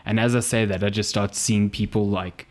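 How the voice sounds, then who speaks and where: A young man, telling an animated story on a casual podcast and acting out his own reactions. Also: lowish voice, moderate pace. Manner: animated storyteller, acts out quotes. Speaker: podcast co-host. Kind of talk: casual chat.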